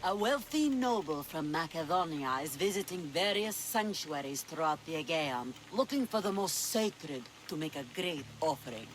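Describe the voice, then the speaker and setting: A woman's voice: low-pitched, lively intonation, moderate pace, hardly any pauses, rough recording. An actress playing a sly, imperious, treasure-loving pirate queen of mature years, with a languid, theatrical delivery in a video game cutscene. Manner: languid, commanding, teasing, theatrical.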